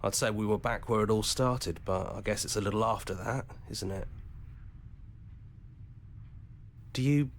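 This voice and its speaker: An actor playing a supportive hero companion in a video game, conversational, reassuring and wryly practical. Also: male voice, on the low side, brisk, a little hiss or hum, close to an everyday voice. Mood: practical, urgent. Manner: conversational, reassuring, hesitant at times. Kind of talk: visual novel game acting.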